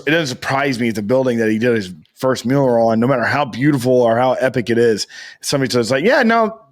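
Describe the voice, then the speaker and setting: A man's voice: medium pitch, expressive, quick pace. An American man chatting casually as a podcast co-host, rambling and emphatic, with slangy talk and free swearing.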